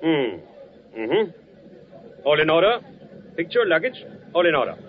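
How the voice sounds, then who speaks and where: A man playing a brisk, officious German train master in a 1940s radio drama, clipped and bureaucratic. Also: on the high side, sing-song. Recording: dull vintage radio, clear background noise.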